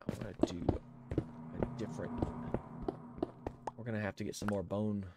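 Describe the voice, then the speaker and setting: An older man with a head cold talks casually from a home room through a headset mic, his voice sitting low. He rambles and thinks aloud, counting under his breath, while loud noise runs steadily underneath. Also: steady tone, normal speed. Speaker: streamer. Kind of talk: casual gameplay commentary.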